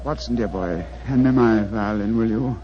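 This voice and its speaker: Low-voiced British gentleman detective played by a man, weary, formal and precise, old noisy radio recording. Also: moderate pace, highs cut off, close to an everyday voice. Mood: delirious. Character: weary, courteous famous English detective, posing as explorer. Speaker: radio drama actor. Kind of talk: 1940s radio acting.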